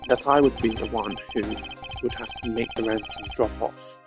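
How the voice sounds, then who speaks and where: A man's voice: fairly low pitch, fairly even intonation, moderate pace. A man streaming a video game, reading the dialogue aloud theatrically and adding casual asides. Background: game music.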